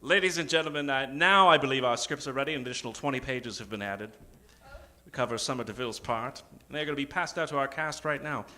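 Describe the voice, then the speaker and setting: A man hosting as a theatrical mock old-time radio announcer, speaking dramatically with suspenseful pauses and sudden emphasis. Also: mid-range voice, sharp tone. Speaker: announcer and host of an improvised radio show. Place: theater stage. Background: live keyboard music.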